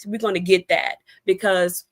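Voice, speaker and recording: A woman's voice: medium pitch, little pitch movement, normal speed. An American woman talking casually as an interview guest, explaining things in a chatty, conversational way. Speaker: interview guest, author and cigar expert.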